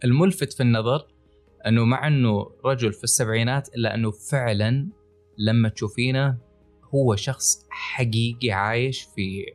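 A man's voice: mid-range voice, a little fast, faint music behind. A man with a Saudi Gulf accent co-hosting a health podcast, talking in an explanatory, conversational way. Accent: Gulf Arabic, Saudi. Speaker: podcast co-host, writer and wellness coach.

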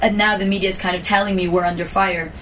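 A woman's voice: mid-range voice, flat delivery, quick pace, fairly bright tone, slight background noise. A woman co-host sharing a personal story earnestly in a podcast chat, often restarting her sentences. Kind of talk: casual podcast conversation. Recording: voice picked up from a distance.

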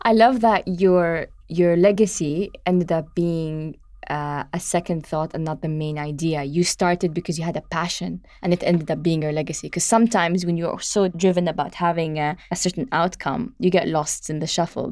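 A woman hosting a conversational interview, expressive and affirming, putting stress on key words as she responds and asks questions. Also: sits low, no room sound, clean studio sound. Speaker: interview host.

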